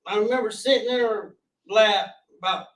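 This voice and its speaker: A forty-year-old man from North Carolina with a loud voice, telling his life story as a podcast guest in an earnest, rambling way with restarts. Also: sits high, normal speed, distant mic. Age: 40s. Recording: roomy, off-mic sound.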